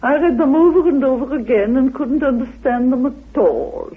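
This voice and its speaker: A woman interviewed as a researcher, explaining her ideas like a lecture in a deliberate, dramatic manner. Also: high-pitched, lively intonation, husky. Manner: deliberate, dramatic, with rhetorical questions. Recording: narrow phone-like old radio recording.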